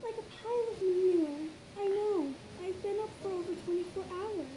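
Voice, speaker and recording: A woman's voice: very high-pitched, steady tone, moderate pace, warm tone, low-quality recording. A woman voicing an exhausted, caring female pony nurse in an amateur audio drama reading, earnest and concerned. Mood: weary, teasing. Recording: music behind the voice.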